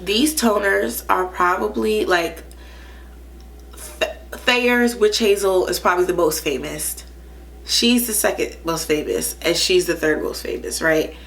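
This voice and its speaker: A chatty woman in her thirties reviews skincare to camera, her voice a bit low and nasal from a stuffy nose. She talks casually and keeps checking in with a quick "right?". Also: vocal fry, fairly bright tone. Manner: chatty, says "right?" often. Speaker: beauty vlogger. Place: small indoor room, plain wall.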